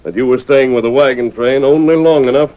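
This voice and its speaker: An actor playing a righteous masked lawman in an old radio adventure, crisp and authoritative with an American accent. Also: medium pitch, fairly quick, lightly acted. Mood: explaining firmly. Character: masked frontier lawman hero, commanding, calm and righteous. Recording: muffled old radio broadcast.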